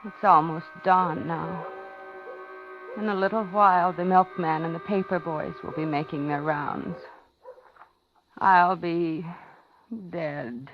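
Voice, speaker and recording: A woman's voice: on the low side, fairly even intonation, slow, long pauses. An actress playing a woman in danger telling her own story, dramatic and confiding, in 1950s radio drama style. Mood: dread, resigned. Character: frightened woman narrating her own impending murder. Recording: dull vintage radio, music behind.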